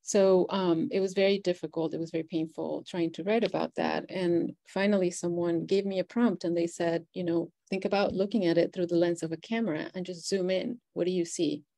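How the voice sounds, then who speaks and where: Woman, native Spanish speaker with Venezuelan accent, lowish mellow voice, reflective panel talk. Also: fairly even intonation, brisk, creaky, few pauses. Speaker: poet, panelist.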